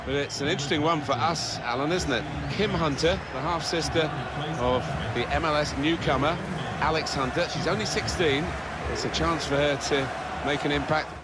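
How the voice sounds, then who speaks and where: An actor playing a television soccer commentator, delivering match commentary in a video game. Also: male voice, a little high, normal speed, heavy background noise, natural voice. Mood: interested. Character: male TV soccer commentator introducing a young player.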